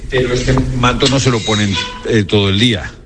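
A man conducting an on-location interview, halting as he searches for words. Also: mid-range voice, steady tone, music in the background. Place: small convent parlour, indoors.

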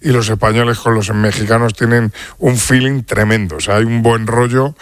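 A man's voice: medium pitch, fairly even intonation, normal speed, no echo, studio quality. A man on a sports radio panel speaking emphatically. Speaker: radio pundit.

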